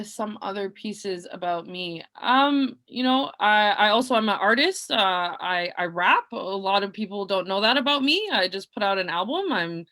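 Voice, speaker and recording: A young woman from Nova Scotia with a loud voice introduces herself as a guest speaker on a video call from her bedroom, talking earnestly with frequent ums and uhs. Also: medium pitch. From Nova Scotia, Canada. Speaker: community organizer and guest speaker. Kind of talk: personal self-introduction at an online panel.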